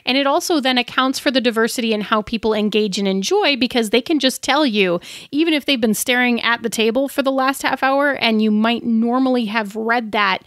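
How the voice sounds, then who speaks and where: A woman with a slightly high voice chats as a podcast co-host. She explains her points conversationally, recorded close and very dry. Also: a little fast, few pauses, fairly bright tone, no room sound. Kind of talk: casual explanatory chat about tabletop gaming.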